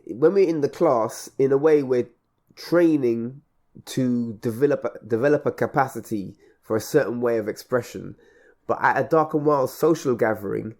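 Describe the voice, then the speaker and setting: A man hosting a radio conversation, speaking reflectively in measured, halting phrases and building on repeated words. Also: medium pitch, a little mellow. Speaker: radio show host and event organiser.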